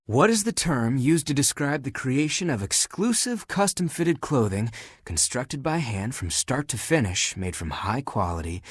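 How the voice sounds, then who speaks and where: A haughty, theatrical would-be fashion designer from a video game, a living pile of laundry with a great sense of drama. The voice is husky and close and dry, swooping freely up and down as it teases and looks down its nose. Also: male voice, medium pitch, dry recording. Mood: testing, haughty. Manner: haughty, flamboyant, dramatic, teasing. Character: sassy, theatrical talking dirty laundry, aspiring fashion designer. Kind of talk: animated video game character acting.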